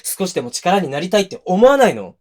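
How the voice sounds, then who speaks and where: A man's voice: high voice, big pitch swings, fast, a little mellow. A male actor playing a defensive, hot-tempered young boyfriend in a voice drama, speaking bluntly and casually to the listener up close. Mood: angry, indignant. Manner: blunt, casual masculine speech. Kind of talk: situation voice drama addressed to the listener. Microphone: close binaural microphone.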